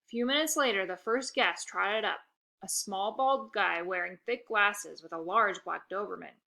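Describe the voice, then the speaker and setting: A woman reading a children's book aloud in an expressive narrating style. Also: medium pitch, moderate pace, creaky. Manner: expressive, occasional stumbles over words. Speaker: storybook reader.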